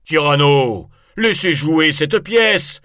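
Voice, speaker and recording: A man voicing rowdy, excitable theatre spectators, loud and declamatory, in a storybook. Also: fairly high pitch, slow, dry recording, studio quality, voice pushed up, full character voice. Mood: heckling.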